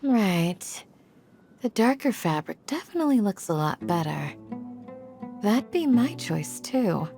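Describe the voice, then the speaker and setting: A gracious village guardian in a video game. The voice is mid-pitched and calm. Music is clearly heard behind it. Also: female voice, slow pace. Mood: welcoming. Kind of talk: video game dub acting.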